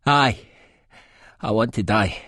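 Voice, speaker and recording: A man playing a battered, hapless Scottish junkie in an audiobook, meek and self-loathing, groaning out halting, plaintive lines. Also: on the low side, a bit bright, no echo, studio quality. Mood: pained defiance. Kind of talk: dramatised audiobook acting.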